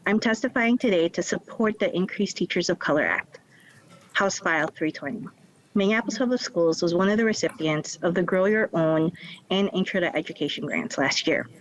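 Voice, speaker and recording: A woman teacher gives formal testimony over a remote call in a measured, formal way. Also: lowish voice, slight background noise, low-quality recording.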